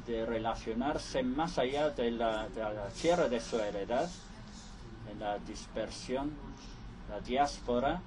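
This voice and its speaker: A man voices a live interpretation of conference speeches, moving slowly and often breaking off to restart. His pitch sits at an ordinary middle. Clear background noise with traffic runs under the recording. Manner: halting, with frequent restarts.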